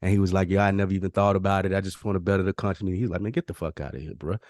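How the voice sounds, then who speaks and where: An American man with a low voice chats as a podcast host in a dry, close recording. He tells stories in loose slang and voices other people's replies as he goes. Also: steady tone, racing, a little mellow, no room sound. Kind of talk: casual chat.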